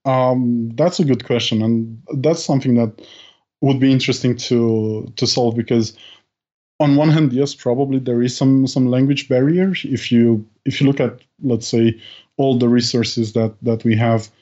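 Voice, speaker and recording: A man's voice: mid-range voice, steady tone, normal speed, mellow. A man speaking as a podcast guest in casual conversation, hesitant, with many fillers and restarts. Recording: dull lo-fi sound.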